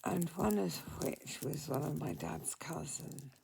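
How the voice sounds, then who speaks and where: Tired, croaky older woman, slow and halting. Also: sing-song, dark tone, a little hiss or hum. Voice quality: croaky, husky. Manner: slow and hesitant, taking deep breaths before speaking. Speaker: vlogger. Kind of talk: casual personal vlog.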